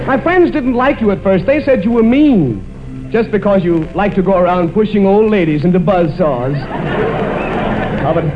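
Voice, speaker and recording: A high-voiced man delivers vintage 1940s radio comedy with overblown romantic theatrics, lingering on repeated phrases. The recording is muffled and dated, with clear music behind him. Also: animated, normal speed, crowd noise. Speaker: comedy radio show host.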